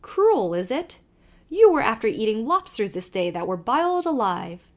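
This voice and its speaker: Storybook part: a woman playing a jolly old Irish peddler man, sly brogue, lively swooping delivery. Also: fairly high pitch, unhurried, voice pushed up. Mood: teasing. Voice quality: thick brogue, sly, teasing.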